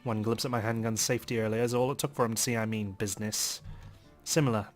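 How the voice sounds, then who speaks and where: Brisk male narrator reading dramatically. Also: fairly low pitch, steady tone, faint music behind. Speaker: let's play narrator. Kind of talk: dramatic first-person visual novel reading.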